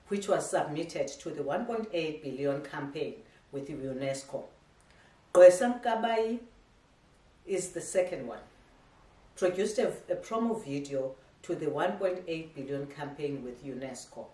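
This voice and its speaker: A middle-aged woman gives a prepared graduation address in a measured, ceremonial tone. Her voice is low for a woman. She is recorded from across a living room, so the sound is echoey and distant. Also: mellow. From South Africa. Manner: measured, ceremonial delivery with slight stumbles. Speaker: academy head giving a graduation speech. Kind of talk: formal prepared speech read aloud. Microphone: camera across the room.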